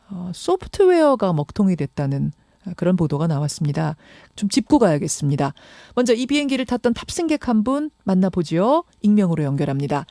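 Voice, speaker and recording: Female radio host, lively intonation, mellow tone, conversational news interviewing, dry close studio mic. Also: medium pitch, no room sound. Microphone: close studio microphone.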